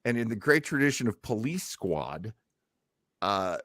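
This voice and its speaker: A male co-host talks in a dry, close recording. His delivery is casual and animated, with wide swings in pitch, rambling along jokily and acting out reactions. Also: unhurried, no room sound. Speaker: podcast co-host introducing old radio shows. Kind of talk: casual chat.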